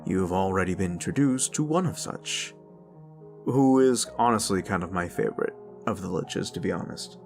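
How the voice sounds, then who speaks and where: A man's voice: deep voice, animated, normal speed, vocal fry, darkish tone, faint music behind. A man talking casually to his audience as the creator of an audio roleplay channel. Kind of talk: casual outro addressed to audience.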